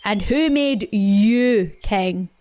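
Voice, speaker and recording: A woman voicing a big, sensible male brown bear in a children's story, speaking slowly and emphatically. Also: medium pitch, a little mellow. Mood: challenging, reasoning.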